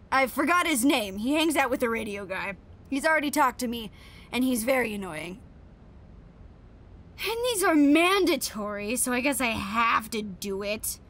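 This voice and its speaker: A woman's voice: fairly high pitch, a bit bright. A woman playing an irritable, flippant field agent in an audio drama, dismissive and grudging in the way she talks. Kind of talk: audio drama monologue, spoken log.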